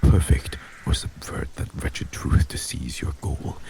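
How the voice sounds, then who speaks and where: A man playing a heartless, commanding vampire mafia boss in an ASMR audio drama, gravelly and speaking in a formal, grave, measured manner. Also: lightly acted. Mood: dark incantation.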